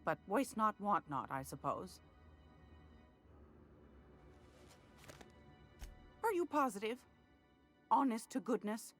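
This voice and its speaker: A woman's voice: medium pitch, sing-song, moderate pace, a bit bright, soft background music. An actress playing a folksy, sly older woman trader in a video game, sweet-talking and knowing, with a drawl. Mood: grumbling, wheedling. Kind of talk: video game character dialogue.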